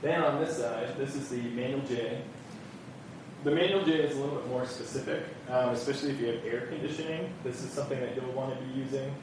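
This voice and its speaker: A man's voice: medium pitch, a little fast. Guy explaining permit forms, kinda casual, echoey room, mic far off, some background noise. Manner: casual, hedging with kind of and um. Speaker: permit staff presenter. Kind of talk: walking through forms at a public workshop.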